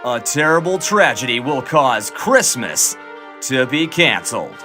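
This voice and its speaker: A man performs a dramatic trailer announcer, portentous and theatrical. The voice is mid-pitched with lively swings, set over clear background music. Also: measured pace. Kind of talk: scripted trailer narration.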